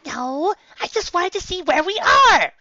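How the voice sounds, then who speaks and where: A man's voice: very high voice, expressive, a little slow, pushed and bright, no echo, muffled recording, pitched above the performer's own voice, heavily acted. A man voicing an indignant little girl unicorn pony in a storybook reading. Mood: agitated.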